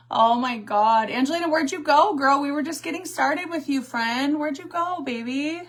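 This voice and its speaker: A woman's voice: sits high, measured pace. Sassy chatty woman in her forties. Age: 40s. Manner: chatty, sassy, answers viewer comments, laughs often. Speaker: livestream host. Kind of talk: casual livestream chat while doing makeup.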